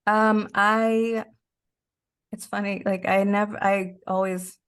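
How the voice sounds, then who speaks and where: A woman's voice: mid-range voice, little pitch movement, takes it slowly, a bit bright, smooth. A woman speaking as an interview guest, chatting informally and often chuckling through her words. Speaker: interview guest, actor and musician. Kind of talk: casual interview chat.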